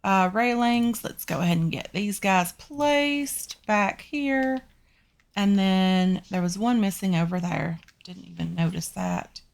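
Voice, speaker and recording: A woman's voice: medium pitch, slow pace, creaky. A woman with a Southern US accent hosting a gaming video, congested from a sinus infection, chatting casually to her viewers with frequent ums. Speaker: gaming channel host. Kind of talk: casual game commentary.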